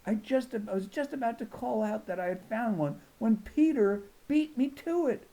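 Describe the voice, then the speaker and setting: A man reading a children's novel aloud as an expressive first-person narrator. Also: very high voice, moderate pace, gravelly, poor audio. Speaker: audiobook reader.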